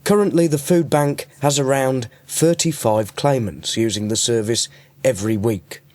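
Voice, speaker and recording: A man's voice: medium pitch, normal speed, a little mellow, no room sound. Male radio reporter, scripted delivery. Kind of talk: scripted narration and interview questions.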